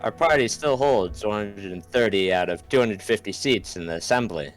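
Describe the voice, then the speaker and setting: A man's voice: lowish voice, moderate pace, lightly acted. A man playing an earnest reformist politician in a political game, making his points in a declarative way. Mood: earnest resolve. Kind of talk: scripted political game voice-over. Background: background music.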